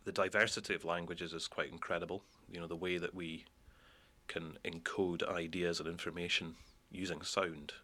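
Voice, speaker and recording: A man's voice: deep voice, flat delivery, normal speed, bright, forceful tone. A man from Scotland, a university lecturer explaining in an interview. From Edinburgh, Scotland. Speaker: university lecturer in speech science.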